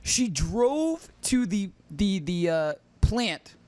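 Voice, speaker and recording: A man's voice: high-pitched, very animated, very slow, darkish tone, no room sound. A man hosting a casual pop culture podcast, chatty and prone to repeating himself while he stalls. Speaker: podcast host. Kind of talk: casual chat.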